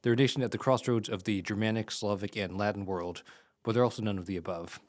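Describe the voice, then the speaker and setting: Male podcast host, low voice, quick and chatty with lots of fillers. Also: fairly even intonation. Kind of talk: casual interview.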